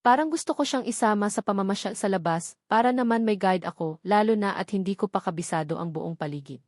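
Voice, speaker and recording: A woman's voice: medium pitch, quick pace, a little mellow, dry recording, studio quality. A woman with a Filipino accent reading a first-person romance novel as an audiobook narrator.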